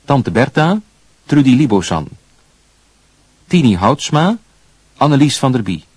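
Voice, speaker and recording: A man's voice: mid-range voice, very animated, unhurried, darkish tone, breathy edge, dry recording. A man reading scripted radio drama credits as a formal closing announcer.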